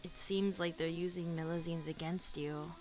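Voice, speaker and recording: A woman's voice: on the low side, fairly even intonation, normal speed. A woman streamer giving casual reaction commentary while playing a story game. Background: soft game music.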